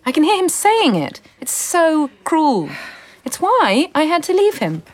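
A woman's voice: high voice, wildly swooping pitch, unhurried, exaggerated voice. An actress in a radio drama playing an anguished, bitter and volatile Soviet woman in love. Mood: wistful, bitter.

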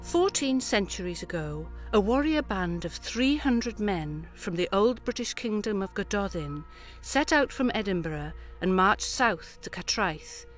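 A woman from Wales, a Welsh speaker, narrating a radio documentary in a measured, reflective way. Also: medium pitch, lively intonation, faint music behind. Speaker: poet presenter.

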